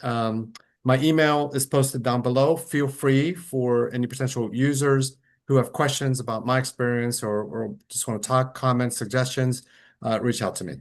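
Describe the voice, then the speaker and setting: A middle-aged man from Colombia gives an orthodontics lecture on a webinar. He speaks in a relaxed, conversational way, with plenty of fillers and restarts. His voice comes through a computer microphone on a video call. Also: medium pitch, moderate pace.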